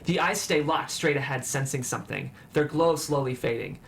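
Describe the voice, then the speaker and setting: A man's voice: medium pitch, moderate pace, a bit bright. A man reading scripted stage directions as the narrator of a table read. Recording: clear background noise.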